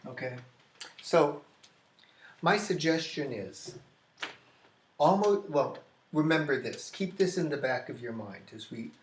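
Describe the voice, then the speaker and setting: An American man teaching an English grammar lesson, explaining slowly and repeating key phrases, often restarting his sentences. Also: a little high, animated, a little hiss or hum. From United States. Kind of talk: classroom grammar lesson at a whiteboard. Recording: echoey classroom.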